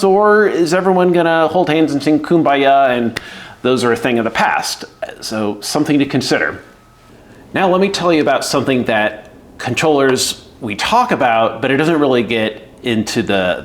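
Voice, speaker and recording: A middle-aged man from the United States, an air traffic controller giving casual, candid career advice with lots of fillers. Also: medium pitch. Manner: conversational, acts out quoted voices, frequent fillers. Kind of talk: casual explainer video.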